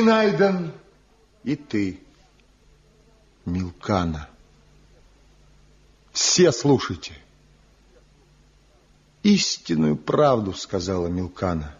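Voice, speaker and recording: An actor playing a bitter, envious wandering craftsman in a theatrical radio drama, declaiming with impassioned, weighty pauses. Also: mid-range voice, slightly rough, heavily acted. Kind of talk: theatrical Soviet radio play acting. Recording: muffled 1970 radio drama recording.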